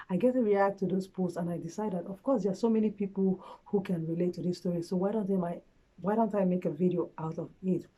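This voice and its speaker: A woman giving relationship advice in a casual, earnest vlog chat, her voice hoarse from a cold. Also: lowish voice, mellow, rough recording. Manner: chatty, earnest, frequent fillers. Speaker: relationship vlogger. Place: at home late at night.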